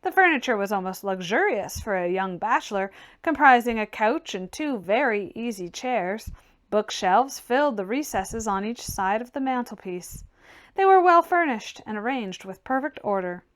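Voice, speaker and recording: Woman, fairly high voice, audiobook reader, scripted literary narration. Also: lively intonation, normal speed.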